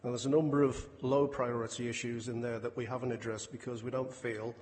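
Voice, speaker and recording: A man giving a formal, measured, matter-of-fact briefing as a council officer presenting a report. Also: medium pitch, little pitch movement, a little mellow. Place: large indoor council meeting room. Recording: echoey hall, distant, clear background noise.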